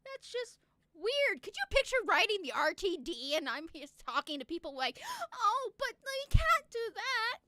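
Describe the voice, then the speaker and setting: A woman's voice: extremely high pitch, sing-song, normal speed, bright, forceful tone, no room sound, studio quality. A woman co-hosting a live stream, teasing and giggling through playful banter. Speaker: stream co-host.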